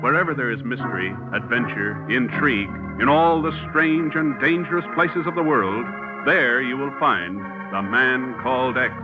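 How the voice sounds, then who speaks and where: A man's voice: medium pitch, moderate pace. Dramatic 1950s radio announcer guy, dull old sound, big organ music behind. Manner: grand, portentous delivery.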